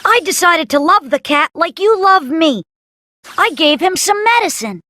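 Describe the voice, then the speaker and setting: Very high-pitched, eager young boy. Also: animated, normal speed, sharp tone, heavily acted. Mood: cheeky, earnest. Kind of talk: children's animated storybook acting.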